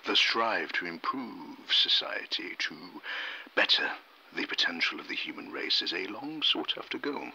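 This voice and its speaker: A man delivering a dramatic scripted monologue in solemn, halting short phrases. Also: deep voice, very animated, gravelly, sharp tone, slight background noise, low-quality recording.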